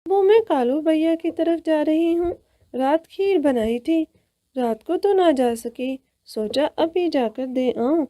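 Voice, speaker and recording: Woman as a high-voiced, caring little bird. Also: takes it slowly, warm tone, dry recording, studio quality. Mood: chatty, caring. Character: caring, sociable female bird friend.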